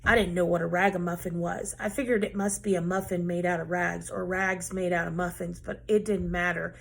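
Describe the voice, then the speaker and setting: A woman teacher reading a children's book aloud in an expressive, lively storytelling manner. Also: fairly low pitch, brisk, gravelly.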